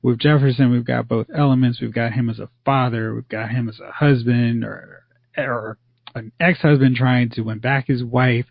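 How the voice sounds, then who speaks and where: Gravelly man hosting a movie podcast. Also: medium pitch, steady tone, normal speed, dry recording. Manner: poses long rambling questions. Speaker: podcast host. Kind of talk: casual movie podcast chat. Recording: slightly dull lo-fi audio.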